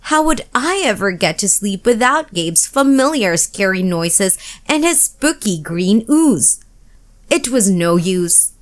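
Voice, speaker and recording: A woman reads a children's storybook aloud as narrator. Her pitch moves widely and expressively, and she keeps a slow, spacious pace. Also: big pitch swings.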